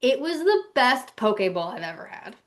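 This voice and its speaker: A woman's voice: sits high, big pitch swings, normal speed. A woman co-hosting a travel podcast, talking in a chatty, informal way and giving her opinions as she goes. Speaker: podcast co-host. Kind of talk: casual podcast chat about travel.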